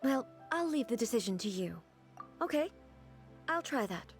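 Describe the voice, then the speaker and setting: An ageless goddess ruler from a video game. The voice is on the high side, formal, earnest and measured, with music playing behind it. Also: female voice, very animated. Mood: hesitant, self-conscious. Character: solemn ageless female ruler deity, formal, sheltered, earnest. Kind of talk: video game character dialogue.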